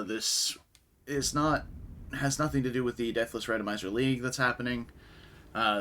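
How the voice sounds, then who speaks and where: A young man with a raspy voice from a concert the night before, casually commentating a game stream and chatting with viewers. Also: fairly low pitch, slight background noise. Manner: relaxed, chatty, answers chat.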